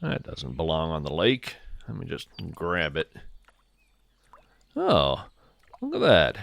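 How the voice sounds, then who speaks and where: A man playing an earnest, wonder-struck naturalist, hosting a scripted fiction nature podcast in a gentle, folksy, lyrical manner. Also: rumbling low, wildly swooping pitch, slow, gravelly, exaggerated voice. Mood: folksy, easygoing. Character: earnest, wonder-struck male naturalist who seeks cryptids. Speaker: podcast host.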